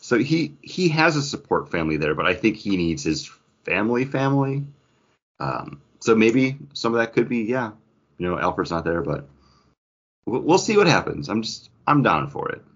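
A man's voice: lowish voice, sing-song, moderate pace, breathy edge, highs cut off. A man co-hosting a podcast, casually chatting about comic books and thinking aloud in a rambling way. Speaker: podcast co-host.

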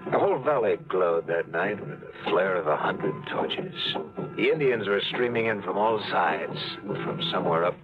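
A brash, scheming adventurer. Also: male voice, low voice, animated. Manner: wry, fast-talking, scheming. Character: tough adventurer narrating, brash and cocky. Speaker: lead actor and narrator. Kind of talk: 1940s radio drama acting. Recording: tinny old radio broadcast with music.

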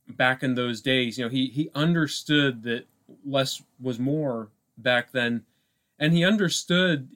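A man co-hosting a podcast, talking casually about film in a halting way, thinking aloud with frequent asides. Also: mid-range voice, fairly bright tone. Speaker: podcast co-host.

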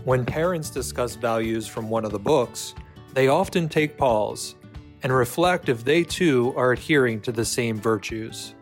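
A man reading a scripted advertisement in a smooth, promotional delivery. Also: mid-range voice, unhurried. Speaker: ad announcer. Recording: faint guitar music behind.

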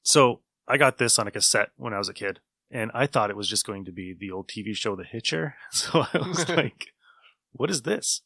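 Bright-voiced man, rambling enthusiast. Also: on the low side, lively intonation, fairly quick, creaky, no room sound. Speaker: podcast host. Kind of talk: casual chat reviewing old radio shows.

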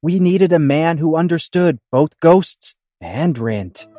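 A man's voice: a little high, lively intonation, takes it slowly, dark tone. Guy narrating a silly rhyming audio play, theatrical storyteller style, bit of music under it. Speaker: show cast member, narrator. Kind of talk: rhymed theatrical storytelling for comedy audio drama.

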